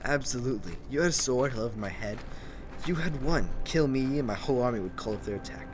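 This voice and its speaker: A man's voice: medium pitch, animated, fast talker, darkish tone, slight background noise, lightly acted. A man playing an earnest, questioning army leader and grieving father in an audio drama. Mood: probing. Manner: probing, earnest. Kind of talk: scripted audio drama acting. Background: music.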